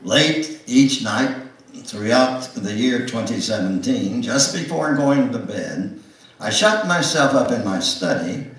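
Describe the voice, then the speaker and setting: A ninety-year-old man giving a dramatic, pause-filled memoir reading as a guest speaker. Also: fairly low pitch. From Knoxville, Tennessee, United States. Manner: slow, theatrical delivery with long pauses. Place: church sanctuary, indoors. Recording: echoey hall, distant microphone.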